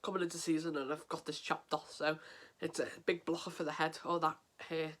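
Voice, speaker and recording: Flat-voiced English man with a cold. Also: a little high. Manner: halting and earnest, many fillers and restarts. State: stuffy with a head cold, sniffling. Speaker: mental health vlogger. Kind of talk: casual to-camera vlog.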